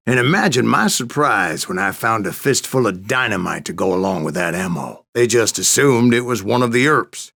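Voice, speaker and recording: A man playing a grizzled old American gunslinger in a video game, telling tall tales with a folksy, wry, gravelly drawl. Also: medium pitch, a bit bright. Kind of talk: video game character narration.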